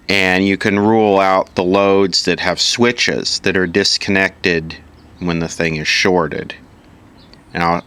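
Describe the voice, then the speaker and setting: A man's voice: sits low, pushed and bright, smooth. A man giving an informal hands-on repair tutorial, matter-of-fact and thinking aloud piece by piece as an instructor.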